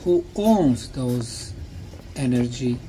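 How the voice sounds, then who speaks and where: A man, a native Bosnian speaker, giving a talk to a group in short, simple phrases with pauses for an interpreter. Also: mid-range voice, animated, mellow, a little hiss or hum, low-quality recording. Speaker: speaker, tour guide.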